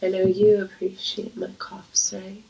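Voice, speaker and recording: A woman with a congested, creaky voice and a cold, chatting casually and intimately to viewers in a flirty, playful manner. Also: mid-range voice, completely flat delivery, unhurried, mellow, slight background noise, poor audio.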